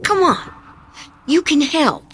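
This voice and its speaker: A woman's voice: high-pitched, sing-song, slow pace, a bit bright, faint music behind, full character voice. An actress playing a patient, resolute woman who farms to survive, speaking plainly and steadily in a radio drama. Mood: resolute, soothing.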